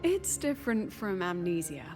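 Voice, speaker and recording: A woman playing a cool, eccentric female academy president in a video game, composed and deliberate, speaking in clipped statements. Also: medium pitch, big pitch swings, close to an everyday voice. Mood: composed, explanatory. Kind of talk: scripted video game voice acting. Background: game music under the voice.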